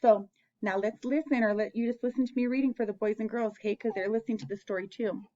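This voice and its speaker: A woman's voice: a little high, steady tone, fast talker, dark tone, highs cut off. A woman hosting an interactive picture-book read-aloud for young children, asking them questions and encouraging them as she goes.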